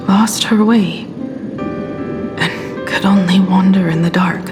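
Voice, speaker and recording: An actress voicing a composed, wistful but quietly defiant woman in a video game, hushed, breathy and measured. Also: fairly low pitch. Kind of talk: dramatic video game voice acting. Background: background music.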